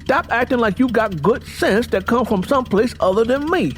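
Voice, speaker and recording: A man's voice: very high voice, animated, moderate pace, soft background music, voice pushed up. A man voicing a boastful, domineering Southern man in an audiobook, scolding and self-important with a Southern US accent. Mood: angry, scolding.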